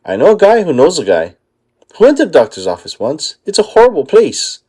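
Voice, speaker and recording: A man's voice: fairly high pitch, wildly swooping pitch, a little fast, dark tone, breathy edge, heavily acted. Man as a dim, eager cartoon starfish. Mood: alarmed.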